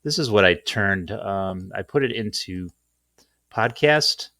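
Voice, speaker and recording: A man's voice: lowish voice, expressive, slow, sharp tone, no echo, clean studio sound. A man hosting an informal, off-the-cuff screen-share tutorial in a rambling, self-deprecating way. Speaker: tutorial host.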